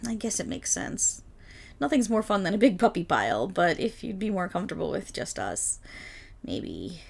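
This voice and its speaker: A woman's voice: lowish voice, very animated, normal speed, vocal fry, slightly rough, full character voice. A woman voicing an affectionate, silly dog girl in an ASMR roleplay, bubbly, eager and playful. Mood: slightly let down.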